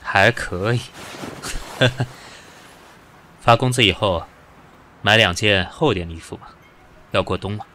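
An actor playing a proud, cool company boss with a condescending, sarcastic manner in an audio drama. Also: male voice, lowish voice, slow pace, long pauses, pushed and bright. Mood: cool, needling. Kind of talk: modern audio drama acting.

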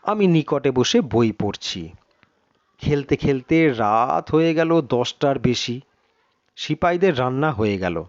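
A man reading a suspense story in a measured, literary, dramatic narration. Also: mid-range voice, animated, no room sound, muffled recording.

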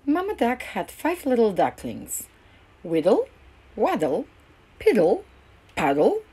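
A woman reading a children's picture book aloud as a narrator, in an expressive storytelling style. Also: a little high, slow, breathy edge.

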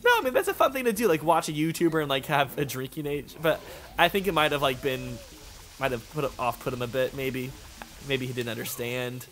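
A man's voice: mid-range voice, brisk, fairly bright tone. A man chatting casually over a game, joking, rambling and swearing. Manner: joking, profane, rambling asides. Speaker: let's play host. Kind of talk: casual gaming banter.

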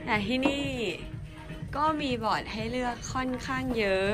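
A woman's voice: high-pitched, moderate pace. A young adult woman, chatting casually to camera as a vlog host. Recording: music mixed loudly over voice. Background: loud music.

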